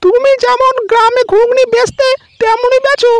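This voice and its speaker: A woman's voice: level tone, moderate pace. A woman voicing a nagging but caring village wife in a comedy cartoon. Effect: pitch-shifted chipmunk-like voice.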